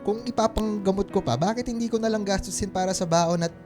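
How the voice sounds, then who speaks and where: A man playing a heartbroken, self-sacrificing husband and father in a radio drama, with a Filipino accent and a halting, emotional delivery. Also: sits high, natural voice. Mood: sad, resigned. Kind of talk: Tagalog radio drama acting. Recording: music under the voice.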